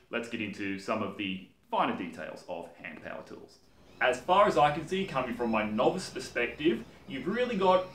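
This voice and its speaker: A man's voice: lowish voice, far from the mic. A man in his thirties with an Australian accent, talking casually to camera as host of a woodworking channel in his home workshop. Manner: chatty and animated, gestures while talking. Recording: roomy workshop sound from off-mic.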